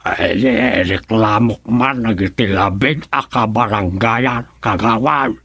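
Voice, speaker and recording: A man with an Ilocano Filipino accent playing an aggrieved, gravelly villager in a radio comedy drama. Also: lowish voice, slow, fairly bright tone, no room sound, full character voice.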